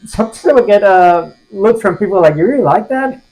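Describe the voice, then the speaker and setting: A man's voice: high voice, animated, brisk, a little mellow, soft background music, rough recording. A man in his forties from Puerto Rico, a podcast guest chatting casually, with lots of fillers and restarts. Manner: hesitant, many fillers and restarts. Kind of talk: casual conversation.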